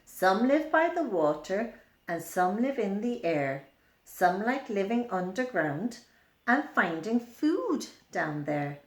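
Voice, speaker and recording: A woman's voice: mid-range voice, very animated, takes it slowly. A woman reading and explaining a story for young children in simple, repetitive phrases with questions. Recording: roomy, echoing indoor sound.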